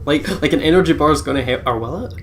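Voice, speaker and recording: A man's voice: fairly high pitch, very fast, slight background noise. A man co-hosting a let's play, chatting casually with joking commentary on the game.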